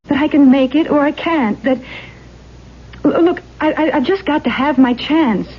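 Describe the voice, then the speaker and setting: An actress playing an eager, romantic young stage hopeful, earnest and hesitant, in an old-time radio drama. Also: female voice, high voice, normal speed, lightly acted. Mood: earnest pleading. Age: young adult. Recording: dull, noisy old radio recording.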